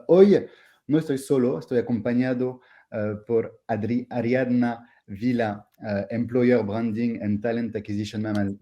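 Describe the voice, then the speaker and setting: A man's voice: mid-range voice, warm tone. A man from France with a French accent, hosting an online masterclass in a hesitant way, with many fillers and restarts. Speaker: host.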